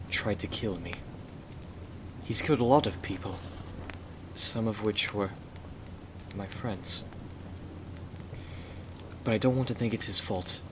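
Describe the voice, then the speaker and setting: A man playing a gentle, devoted young Victorian gentleman husband in an ASMR roleplay, speaking earnestly and courteously. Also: fairly low pitch, noisy background.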